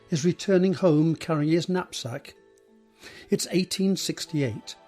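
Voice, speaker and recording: A man reading scripted scene directions as a narrator, measured and descriptive, with deliberate pauses. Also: fairly high pitch, a little mellow.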